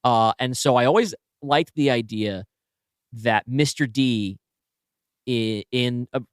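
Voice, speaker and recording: A man co-hosting a podcast, brainstorming casually and thinking aloud in halting, self-correcting bursts. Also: medium pitch, clean tone, no echo, clean studio sound. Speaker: podcast co-host and writer.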